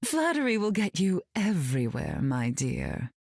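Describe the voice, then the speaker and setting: A woman's voice: deep voice, huge pitch swings, measured pace, vocal fry, darkish tone, dry recording, studio quality, heavily acted. An actress playing a glamorous, cutting mutant queen in a video game, arch, flirtatious and condescending in manner. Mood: flirtatious, smug.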